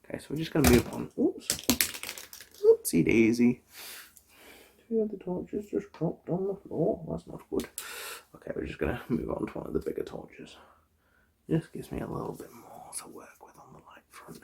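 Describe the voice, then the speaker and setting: A man performs a soft-spoken ASMR medical exam, drifting between murmur and whisper. His voice is very husky and breathy, with heavy gravelly fry. He moves up and down in pitch as he gives gentle instructions, repeated reassurance and chatty asides. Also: big pitch swings, moderate pace. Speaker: ASMR roleplay host playing an eye examiner.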